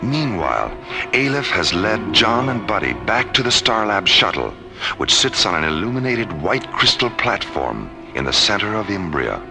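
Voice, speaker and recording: Male radio serial narrator. Deep, grave, theatrically varied delivery for scripted space adventure. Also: big pitch swings, normal speed, creaky. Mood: grave, dramatic. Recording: music bed under narration.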